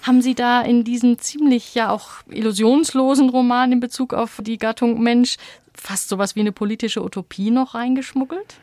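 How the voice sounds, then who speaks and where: A woman's voice: fairly high pitch, steady tone, normal speed, a little mellow, no room sound. Woman interviewer in a dry studio sound. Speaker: radio book-show interviewer. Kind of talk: presenter-led literary interview.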